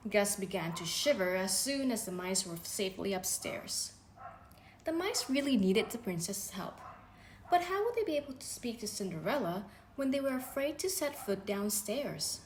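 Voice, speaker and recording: Expressive woman reading a storybook. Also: medium pitch. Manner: gentle, expressive reading with voices for characters. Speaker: storybook reader. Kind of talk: children's bedtime story read-aloud. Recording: echoey room with faint background noise.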